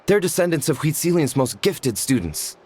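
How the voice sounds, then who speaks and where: A man's voice: a little high, big pitch swings, moderate pace, darkish tone, slight background noise. An actor playing a modest, earnest tribesman in a video game, polite and a little hesitant. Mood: unsure. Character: modest, earnest tribesman proud of family stories.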